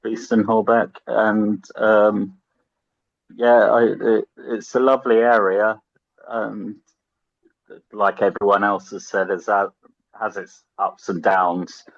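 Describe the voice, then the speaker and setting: Deep, gravelly, slow male candidate, hesitant, muffled dry sound. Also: flat delivery, no echo. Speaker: council candidate. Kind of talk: speaking at a public meeting.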